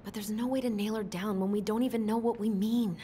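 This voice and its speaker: A woman acting as a sharp-tongued young woman in a narrative video game. She is skeptical and ready to confront, speaking naturally over clear background noise. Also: medium pitch, steady tone, fast talker, natural voice. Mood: sardonic, frustrated. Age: young adult.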